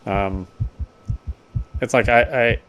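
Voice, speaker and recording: A man's voice: medium pitch, fairly even intonation, slow pace, a bit bright, slight background noise. A man streaming a game, reading its text aloud and commenting casually as a player.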